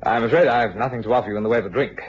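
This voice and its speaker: An actor playing a relaxed, well-mannered young male university student in an old British radio drama. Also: mid-range voice, rapid-fire, slightly rough. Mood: relaxed, polite. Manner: polite, easygoing. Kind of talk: 1950s-60s British radio drama acting. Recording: dull, hissy old radio recording.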